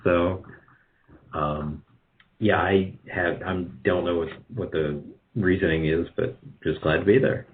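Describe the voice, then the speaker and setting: A man speaking casually as an interview guest, halting and rambling as he corrects himself. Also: low-pitched, fairly even intonation. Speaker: guest, radio drama producer. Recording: dull lo-fi call audio.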